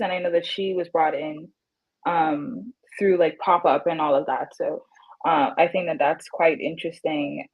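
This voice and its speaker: A woman with a low, breathy voice leads a relaxed video-call panel and asks questions in a halting way with many fillers. Her sound is a bit muffled and dull. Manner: hesitant, filler-heavy phrasing. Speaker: moderator. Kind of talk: casual panel conversation over a video call.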